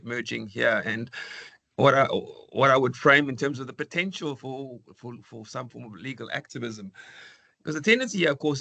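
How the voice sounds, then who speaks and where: A man with a croaky voice asking a long, rambling question on a seminar video call, often repeating words. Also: medium pitch, pushed and bright, dry recording.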